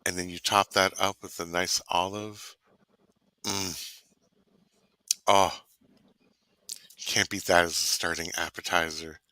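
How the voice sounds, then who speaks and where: A man's voice: low voice, level tone, slow pace, pauses often, sharp tone, dry recording. A man from Canada co-hosting a casual online cooking chat, rambling and savoring his words. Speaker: co-host.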